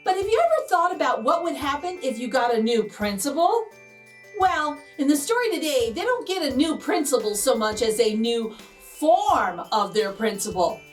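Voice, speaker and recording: High, animated woman reading a picture book. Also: normal speed, faint music behind. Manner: expressive and animated. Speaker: storyteller.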